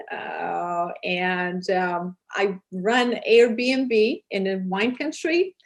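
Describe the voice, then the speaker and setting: Serbian-accented woman, earnest interview guest. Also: fairly low pitch. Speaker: interview guest, program producer and charity founder. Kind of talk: interview answers.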